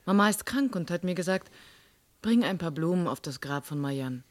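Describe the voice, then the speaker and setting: A woman's voice: on the low side, expressive, normal speed, no echo, close to an everyday voice. An actress playing an inquisitive young woman in a radio drama, polite and probing. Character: inquisitive young woman quietly investigating a death.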